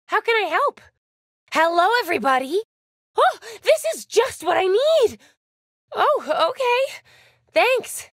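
Perky young american princess for a racing game, super high n swoopy, gushy, bit flustered. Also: female voice, fairly bright tone, no room sound, studio quality, exaggerated voice. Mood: eager, flustered. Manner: gushing, perky, slightly flustered. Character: bubbly, eager, nervously excitable young princess racer. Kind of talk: animated video game character voice lines.

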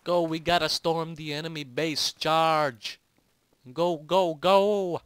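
A young man casually commentating on a shooter game, joking and getting loud when excited. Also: fairly high pitch, slow, clear, resonant tone, no room sound. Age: young adult. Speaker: gaming channel host. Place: home, recorded late at night.

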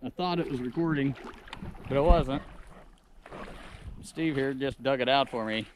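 A man's voice: mid-range voice, unhurried, slight background noise. A man in his thirties vlogging casually and enthusiastically while paddling a kayak on a creek, filmed on a boat-mounted action camera. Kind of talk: casual outdoor vlog narration. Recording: loud music over outdoor audio. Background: loud music.

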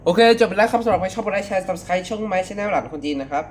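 A young man talking casually in a reaction vlog, excitable and full of exclamations. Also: sits high, quick pace. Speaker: video creator reacting to a horror clip. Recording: faint background noise.

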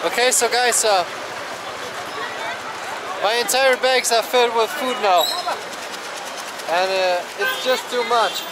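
A young man from Germany chatting to a handheld camera as a travel vlogger, hesitant with many pauses. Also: very high-pitched. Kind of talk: casual vlog narration to camera. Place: busy night street market outdoors. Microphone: action camera held at arm's length. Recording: loud crowd and traffic noise. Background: loud crowd and street traffic.

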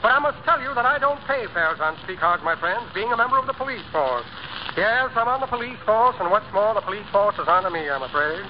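A high-pitched, pompous sly freeloader. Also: male voice, fast talker. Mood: oily, pompous. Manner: pompous, repetitive, flattering. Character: sly, flowery-tongued male freeloading blowhard. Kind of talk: 1930s radio comedy acting. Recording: muffled, hissy 1930s radio recording.

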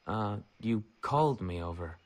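A man's voice: fairly low pitch, expressive, measured pace. An actor playing a patient, dryly exasperated young man in a video game, with a casual delivery. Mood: puzzled, patient. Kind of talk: video game character acting.

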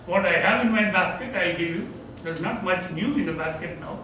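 An older man with a Tamil accent reflects on retirement in a relaxed, self-deprecating way. His voice sits slightly high. The recording is taken from a far camera in an echoey seminar room with steady background noise. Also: brisk. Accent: Tamil-accented English. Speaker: retiring theology professor. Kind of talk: informal farewell lecture with Q&A. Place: seminar room, indoors, medium-sized.

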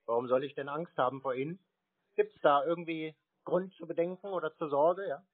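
A man's voice: a little high, a little fast, breathy edge. A man in a phone conversation, answering curtly and contrarily, giving nothing away. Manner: curt, stonewalling, contrary. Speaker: scam-baiter taking phone calls. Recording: tinny phone-quality audio.

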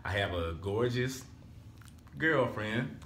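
A man's voice: medium pitch, animated, slow, a bit bright, roomy sound, a little hiss or hum. A man of twenty-one, chatting casually and rambling a little as a vlogger. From Alabama, US. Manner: laid-back, rambling, repeats "so therefore". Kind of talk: casual chat. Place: small room at home.

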